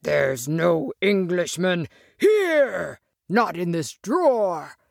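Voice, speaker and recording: A big, jolly green giant from a storybook, acted out by a woman. The speech is extremely slow and drawn out with a gravelly creak. The manner is booming, teasing and theatrical, recorded close and dry. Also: very animated, dry recording, heavily acted. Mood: jovial booming.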